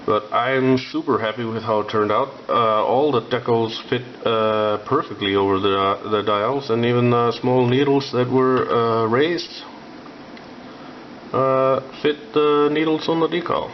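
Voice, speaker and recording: A young Icelandic man with an Icelandic accent, rambling about building a scale model with lots of filler sounds and pauses. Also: mid-range voice, steady tone. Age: young adult. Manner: halting, many filler sounds. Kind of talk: rambling hobby vlog. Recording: dull lo-fi with faint noise.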